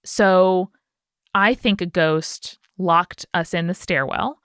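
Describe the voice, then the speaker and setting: A woman's voice: on the low side, takes it slowly, a bit bright, smooth, no echo, clean studio sound. A woman co-hosting a podcast, telling a story in a casual, playful, conversational way. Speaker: podcast host.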